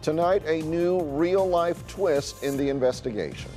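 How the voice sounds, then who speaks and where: A man's voice: on the high side, unhurried, a little mellow, soft background music. A man with an American accent reading scripted television news as an anchor.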